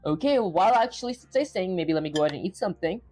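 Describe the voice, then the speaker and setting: A woman streaming herself programming, chatting casually and thinking out loud with lots of "like" fillers. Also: lowish voice, expressive, fast, faint music behind. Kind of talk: casual live coding stream.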